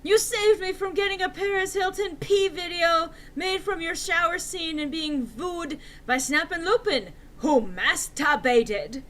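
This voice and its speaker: A woman's voice: very high-pitched, measured pace, hardly any pauses, fairly bright tone, pitched above the performer's own voice. A woman voicing a histrionic, foul-mouthed gothic teenage girl in an angry dramatic reading, with melodramatic outbursts. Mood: indignant.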